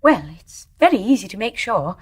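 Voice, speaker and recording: An actress playing an anxious, well-bred young British widow with a genteel manner in a radio drama. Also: female voice, medium pitch, moderate pace, slightly rough. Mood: defensive. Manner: genteel, expressive. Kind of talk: British stage-play radio acting.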